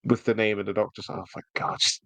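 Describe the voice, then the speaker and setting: A man's voice: lowish voice, little pitch movement, fast, pushed and bright, no room sound. A man chatting casually as a podcast co-host, jokey and often laughing through his words. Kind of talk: casual chat reviewing an audio drama.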